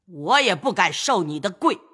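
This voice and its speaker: Stern elderly matriarch for a storybook reading, lively pitch, commanding; a man doing her voice. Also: a little slow, husky, full character voice. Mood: scolding. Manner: scolding, commanding. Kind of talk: audiobook storytelling of a classic novel.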